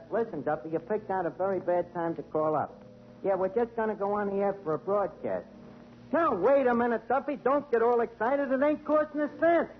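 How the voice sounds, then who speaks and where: A man hosting a 1940s radio comedy as a tavern manager, brash and wisecracking, tripping over malapropisms. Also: very high voice. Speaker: tavern manager and show host. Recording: dull old radio broadcast with faint hiss.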